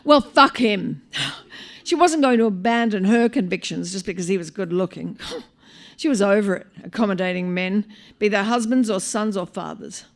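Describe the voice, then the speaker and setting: An Australian woman reading a short story aloud live, in an expressive, wry manner with dramatic pauses. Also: mid-range voice. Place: live on stage at a small venue.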